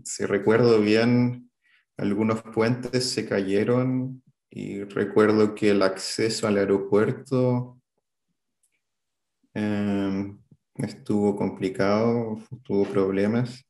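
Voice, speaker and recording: A man in his thirties from Chile with a Chilean Spanish accent, recalling an event as an interviewee, halting and hesitant with many fillers. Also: fairly low pitch, level tone, a little mellow, roomy sound.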